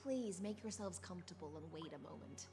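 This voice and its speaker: Serene, aloof sea-born assassin over music. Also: female voice, on the low side, expressive, fairly quick, dark tone. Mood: calm. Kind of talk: video game character acting.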